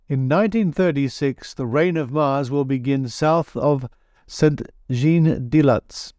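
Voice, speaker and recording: A man hosting a podcast, reading scripted material in a measured, theatrical way. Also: medium pitch, darkish tone, no echo, studio quality. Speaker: podcast host. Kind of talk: scripted podcast narration, reading old newspaper pieces.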